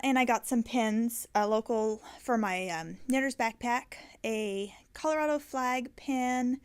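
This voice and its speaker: A woman's voice: on the high side, smooth. A woman hosting a knitting podcast, chatting casually and rambling, correcting herself often with many ums. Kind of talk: casual chat.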